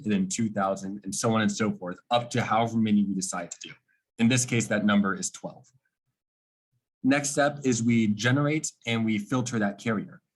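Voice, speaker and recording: A man with a slightly husky voice giving an informal technical explanation, like a student presenting a project, posing questions and then answering them. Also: fairly low pitch, low-quality recording.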